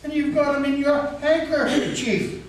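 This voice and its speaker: A man's voice: very high voice, fairly even intonation, measured pace, far from the mic, voice pushed up. A man playing a frightened, stubborn rural farmer in a stage reading, with shaky, plain rustic speech. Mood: shaken. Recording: echoey hall from afar, faint noise.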